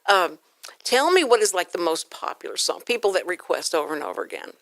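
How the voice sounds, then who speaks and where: Effusive woman hosting, low-pitched, close dry sound. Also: sing-song, fairly quick, no room sound. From Houston, Texas. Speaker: talk show host. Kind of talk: casual radio interview chat. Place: radio studio.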